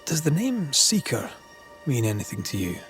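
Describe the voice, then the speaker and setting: An actor playing a shrewd, persistent investigator of hauntings in a video game cutscene, probing and wry. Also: male voice, mid-range voice, wildly swooping pitch, moderate pace, soft background music.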